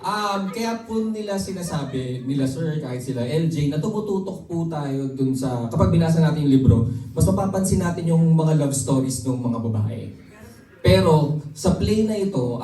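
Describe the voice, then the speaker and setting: A man's voice: medium pitch, dark tone. A Filipino man giving a polite, respectful, unscripted answer at a press event. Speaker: stage actor answering press questions. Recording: roomy, distant mic.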